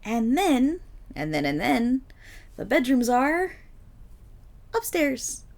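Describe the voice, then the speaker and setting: A woman acts out a bubbly, affectionate young dog girl in an audio roleplay. Her girlish voice sits high and leaps around animatedly, with some creak at the edges. She comes across as playful, goofy and eager. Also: slow, full character voice. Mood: bouncy, teasing. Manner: excitable, playful, eager rambling. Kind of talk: ASMR roleplay audio drama.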